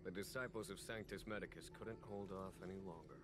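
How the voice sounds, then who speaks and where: A man playing a shrewd, unhurried general in a video game, measured and musing, with quiet authority. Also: low-pitched, noisy background, close to an everyday voice. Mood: calm, assured. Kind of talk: scripted video game voice acting. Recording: background music under the voice.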